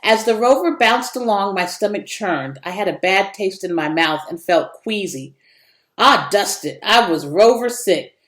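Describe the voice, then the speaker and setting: A woman reads a children's story aloud in an expressive narrating style. Her pitch moves around a lot from phrase to phrase. The room around her adds a clear echo. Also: unhurried. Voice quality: animated, varied intonation. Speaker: educator reading a children's book aloud. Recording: echoey room.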